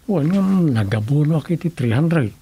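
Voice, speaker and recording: A man's voice: a little high, expressive, normal speed, mellow, slightly rough. A man acting as an easygoing villager in a conversational radio drama. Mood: explaining.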